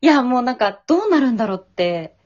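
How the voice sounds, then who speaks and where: A woman's voice: on the high side, sing-song, fast talker, a little mellow. A woman speaking as a guest voice actress in a casual interview chat, often chiming in with short agreement. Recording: dull, band-limited audio.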